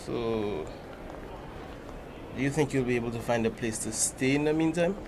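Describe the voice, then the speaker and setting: A man's voice: mid-range voice, moderate pace, natural voice. Man playing a kindly priest, noisy location sound. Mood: reassuring. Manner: gentle, reassuring, fatherly. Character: kindly, older-generation priest gently counselling a parishioner. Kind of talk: dramatic acted dialogue.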